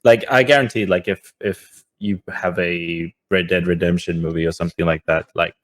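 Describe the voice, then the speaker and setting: A man's voice: deep voice, fairly even intonation, normal speed, fairly bright tone. A man co-hosting a casual podcast discussion, talking in a loose way and often repeating phrases as he goes. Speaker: podcast co-host.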